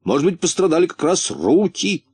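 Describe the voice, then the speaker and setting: A man's voice: fairly high pitch, big pitch swings, moderate pace, a little mellow, husky, dry recording, clean studio sound, pitched above the performer's own voice, exaggerated voice. A man voicing a matter-of-fact storybook companion who clumsily consoles and reasons in a blunt, well-meaning way. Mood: consoling.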